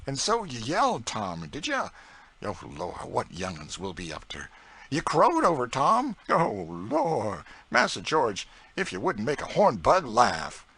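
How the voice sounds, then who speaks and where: A boastful, chattering woman cook with thick old rural dialect speech, as a male reader puts her on. She swoops through a lively, raised pitch and talks loud and bright, scolding and bragging in turn. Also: measured pace, husky, heavily acted. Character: proud talkative woman cook, middle-aged. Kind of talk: audiobook character reading.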